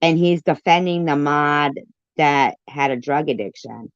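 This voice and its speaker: A woman hosting a casual live stream, commenting and reacting in a deliberate, repetitive way. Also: low voice, clean tone, no echo. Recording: dull lo-fi live stream audio.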